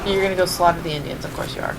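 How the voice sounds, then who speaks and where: A woman's voice: lowish voice, steady tone, racing, background noise. A woman chatting casually on a game stream, teasing her co-player as she comments. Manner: teasing, playfully mocking. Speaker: co-host of a game livestream. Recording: music playing behind the voice. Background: music.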